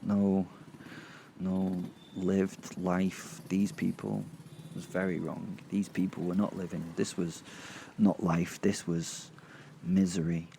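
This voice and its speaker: A man narrating a horror audio drama in the first person, in character, with sardonic, halting delivery and dramatic pauses. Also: deep voice, level tone, vocal fry, dark tone, a little hiss or hum.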